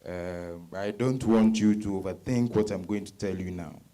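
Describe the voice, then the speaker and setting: An actor with a West African accent playing a married suitor in dramatized novel dialogue, hesitant, coaxing and earnest. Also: male voice, deep voice, moderate pace, dark tone. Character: smooth-talking married suitor, hesitant yet insistent husband.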